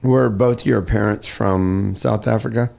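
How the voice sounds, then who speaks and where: A man's voice: on the low side, fairly even intonation, a little slow, creaky, darkish tone. A man hosting a podcast, asking short, curious questions in a casual interview. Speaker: podcast host.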